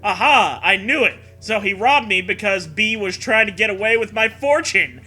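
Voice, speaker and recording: A man voicing an outraged, dim-witted farmer in a comic read-aloud, loud and over the top. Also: sits high, animated, moderate pace, breathy edge, soft background music, full character voice. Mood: hysterical outrage.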